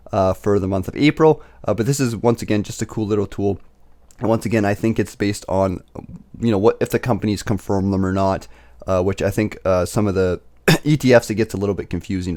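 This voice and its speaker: A young man hosting a casual investing video, talking through his picks with lots of fillers and self-corrections, his voice slightly raspy as he gets over being sick. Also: fairly low pitch, darkish tone. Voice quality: slightly raspy, recovering from illness. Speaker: dividend investing channel host. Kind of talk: casual screen-share commentary.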